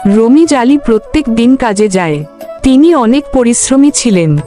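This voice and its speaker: A woman's voice: on the high side, lively intonation, normal speed. A woman narrator reading a scripted story for a cartoon. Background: background music.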